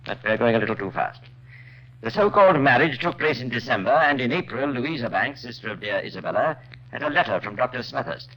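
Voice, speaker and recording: A man's voice: medium pitch, animated, fairly quick, lightly acted. A man narrating as a wry, urbane true-crime storyteller in 1950s radio drama style, dry and conversational. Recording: muffled, noisy old radio recording.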